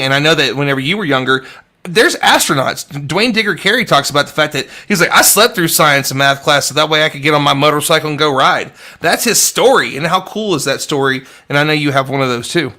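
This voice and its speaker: Bright-voiced earnest male host. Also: a little high. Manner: earnest, rambling with many restarts. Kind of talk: casual livestream chat.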